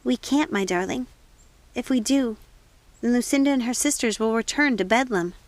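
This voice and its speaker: A woman voicing gentle, motherly witches in a storybook, tender, measured and sadly resigned. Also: on the high side, no echo, close to an everyday voice.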